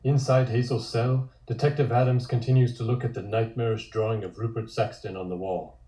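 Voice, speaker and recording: A man's voice: mid-range voice, fairly even intonation, fairly quick, mellow. Echoey male narrator reading a horror script. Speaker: narrator reading stage directions. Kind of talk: scripted comic-script narration at a table read. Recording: roomy, echoey sound.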